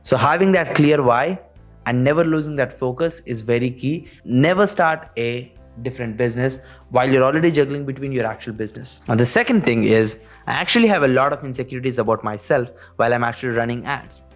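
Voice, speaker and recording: A man's voice: mid-range voice, fairly quick, faint music behind. A young man from India with an Indian accent, talking earnestly about his business, dropping lots of "you know" and "actually". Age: young adult. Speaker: business owner. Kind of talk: direct-to-camera business talk.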